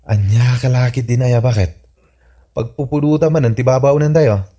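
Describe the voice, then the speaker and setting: A man's voice: medium pitch, fairly even intonation, normal speed, dark tone, natural voice. A man acting a teasing, exasperated husband in an Ilocano radio comedy-drama, mocking and nagging in an Ilocano Filipino accent. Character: teasing, exasperated husband who needles his bossy wife. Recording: music under the voice.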